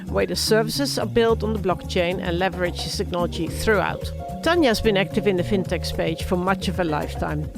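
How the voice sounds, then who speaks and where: A woman's voice: on the low side, gravelly. A woman hosting a podcast, reading a polished scripted introduction of the guest. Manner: reads a polished guest bio. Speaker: podcast host.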